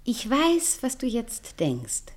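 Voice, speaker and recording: An actress playing a cool, teasing, sharp-tongued woman in a German radio play, blunt and ironic with her lover. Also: a little high, huge pitch swings, takes it slowly, full character voice. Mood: probing.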